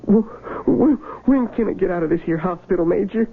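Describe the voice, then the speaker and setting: Gravelly, stammering young soldier. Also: male voice, high-pitched, fairly quick. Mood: dazed. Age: young adult. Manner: hesitant, stammering, confused. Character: dazed young wounded soldier who lost his memory. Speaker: narrator of his own story. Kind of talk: 1970s radio drama acting. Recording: tinny old radio broadcast with loud hiss.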